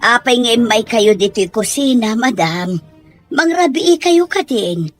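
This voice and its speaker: A woman's voice: a little high, animated, a little slow, faint music behind. A woman acting as a respectful, concerned household helper in an amateur audio drama, asking questions deferentially. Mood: curious.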